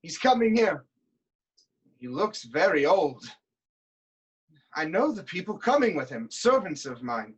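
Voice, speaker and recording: A woman playing a proud, stubborn king of ancient Thebes, declaiming deliberately in a theatrical reading. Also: on the low side, sing-song, breathy edge, muffled recording, exaggerated voice. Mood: questioning. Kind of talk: theatrical staged reading of Greek tragedy.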